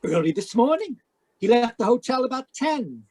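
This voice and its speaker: A woman's voice: on the high side, sing-song, normal speed, darkish tone, husky. A woman playing a polite, gossipy and easily flustered hotel desk clerk in an old-time radio drama. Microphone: video call.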